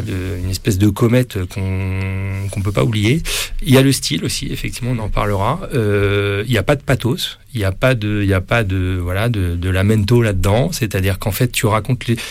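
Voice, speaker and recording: Rambling low-voiced radio host. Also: male voice. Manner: rambling, many restarts and fillers, warmly admiring. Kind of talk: radio interview.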